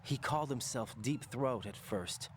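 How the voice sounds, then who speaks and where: A man's voice: mid-range voice, a little slow, a little hiss or hum, natural voice. An actor playing an earnest young male operative talking over a radio link. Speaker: soldier operative.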